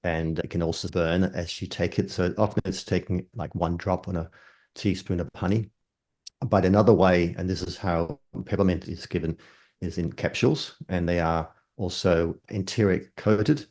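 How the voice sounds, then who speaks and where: Older herbalist, very low, dark gravelly tone, measured explainer. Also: male voice, steady tone. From Denmark. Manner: measured, explains terms step by step. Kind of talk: explanatory interview answers.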